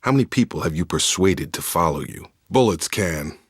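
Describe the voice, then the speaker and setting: A steadfast space soldier in a game, low voice, earnest and plain spoken, really dry close sound. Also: male voice, expressive, moderate pace, breathy edge, no echo. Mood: calm, serious. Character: steadfast young male space soldier, guarded, duty-minded. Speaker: squadmate in a science fiction game. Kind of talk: video game voice acting, naturalistic dramatic dialogue.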